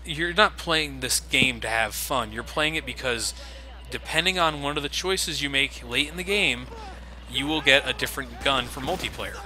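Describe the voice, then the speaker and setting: A man's voice: medium pitch, moderate pace, sharp tone, rough recording. Sarcastic man commentating gameplay. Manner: sarcastic, swears casually. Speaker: let's play commentator. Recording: game noise underneath.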